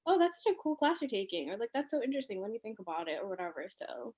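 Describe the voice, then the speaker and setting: A young woman from Ethiopia, chatting as a podcast guest over a video call from her living room, animated and full of fillers. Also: medium pitch, quick pace. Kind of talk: casual conversation.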